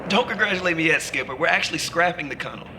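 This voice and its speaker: A man's voice: a little high, expressive, racing. A man playing an eccentric, self-absorbed billionaire tech boss, breezy and glib, in a sci-fi audio comedy. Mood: breezy, hurried. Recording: very noisy car phone call, vehicle roar.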